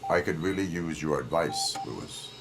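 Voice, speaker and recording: A man playing a stoic, dutiful cyborg police officer in a video game, with flat, formal, clipped deadpan delivery. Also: very deep voice, moderate pace, background noise. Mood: solemn, deadpan.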